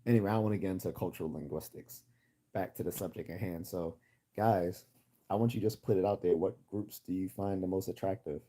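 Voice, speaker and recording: Deep-voiced American man, husky and mellow. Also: steady tone, a little fast. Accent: general American, Midwestern. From United States. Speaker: livestream host. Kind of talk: casual livestream chat.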